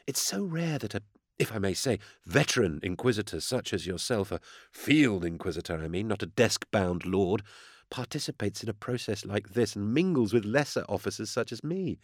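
A man's voice: fairly low pitch, expressive, normal speed, dry recording, studio quality. A man voicing an eager, earnest young inquisitor in an audiobook, with a gushing, deferential and hesitant manner. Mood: earnest, breathless. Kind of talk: audiobook character dialogue.

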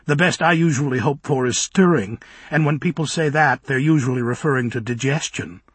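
Man doing a sardonic, sharp-tongued young woman. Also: mid-range voice, fairly quick, slightly rough, no room sound. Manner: dry, sardonic.

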